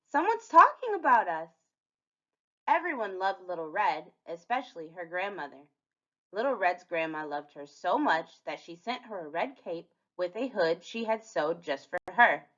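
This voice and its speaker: A woman reading a children's storybook aloud in animated narration, on a slightly dull recording. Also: lowish voice. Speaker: storybook reader.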